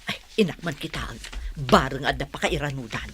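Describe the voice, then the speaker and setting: A woman's voice: very deep voice, normal speed, background noise. A woman playing a nosy, outspoken village auntie in an Ilocano Filipino radio comedy drama.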